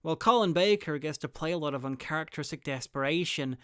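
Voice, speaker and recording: A man's voice: a little high, fast, fairly bright tone, a little hiss or hum. A man hosting a review, reading scripted commentary and narration. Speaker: review video host.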